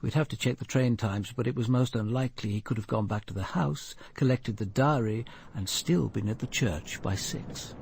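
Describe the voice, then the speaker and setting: An actor playing a brooding, analytical senior British detective in a radio drama, speaking in a grave, measured and deliberate way. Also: male voice, medium pitch, gravelly, a little mellow, slightly rough. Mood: grave, reflective. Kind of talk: radio drama acting, reflective narration.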